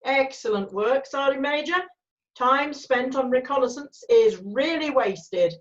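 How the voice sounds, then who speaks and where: A woman reading the part of a resolute, formal male cavalry officer in an amateur screenplay read-through, with measured military phrasing. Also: high voice, dull audio. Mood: brisk, confident.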